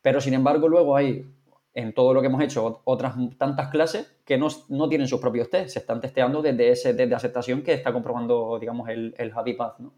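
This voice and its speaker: A man's voice: medium pitch, fairly even intonation, a little fast, dark tone. A man chatting casually as a podcast co-host, thinking aloud with frequent restarts. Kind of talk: casual chat.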